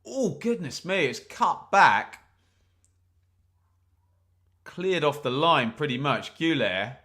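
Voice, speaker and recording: A man's voice: fairly high pitch, animated, slow, sharp tone. A man hosting a live football watch-along, commentating on the play and chatting to viewers with jokes and asides. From England. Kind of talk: live match commentary and chat.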